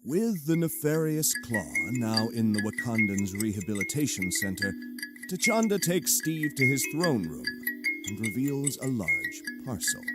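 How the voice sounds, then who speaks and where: Animated male narrator over dramatic music. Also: medium pitch, unhurried. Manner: theatrical storytelling. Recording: cinematic music bed behind.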